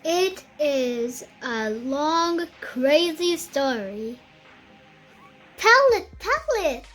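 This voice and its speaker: A child reading a picture book aloud in a careful, halting beginner's way, with music behind. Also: sits high, very animated, a bit bright, low-quality recording.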